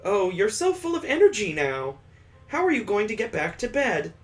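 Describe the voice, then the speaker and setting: A man acts out a young mother from a visual novel. The voice is raised in pitch, fond and teasing. There is playful exasperation in it. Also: huge pitch swings, moderate pace. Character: teasing, affectionate young mother, anime woman.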